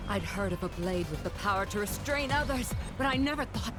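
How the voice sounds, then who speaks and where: A woman acting as a coolly disdainful female officer in a video game cutscene. She speaks with formal, clipped authority and talks down to whoever faces her, over clearly audible music. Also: mid-range voice, lively intonation, fairly quick, lightly acted. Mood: taken aback.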